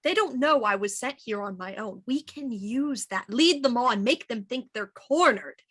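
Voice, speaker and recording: An actress playing an actor who voices a stern inspector, with clipped, probing questions. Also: female voice, fairly high pitch, expressive, normal speed, a bit bright, slightly rough. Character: young woman actor, sharp and sarcastic, voicing a stern inspector. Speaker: actor playing a police inspector in a period radio play. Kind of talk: audio drama acting.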